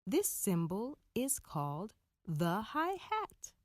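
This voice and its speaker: A woman narrating a rhyming picture book for children in a deliberate, expressive storytelling manner. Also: mid-range voice, no room sound, clean studio sound. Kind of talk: rhyming children's read-aloud.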